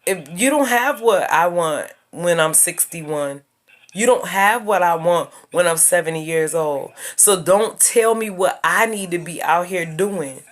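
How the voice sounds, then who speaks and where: A woman's voice: on the low side, measured pace. A woman in her thirties talking straight to her viewers in a casual advice video, emphatic and preachy, repeating her points. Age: 30s. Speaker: YouTuber giving life advice.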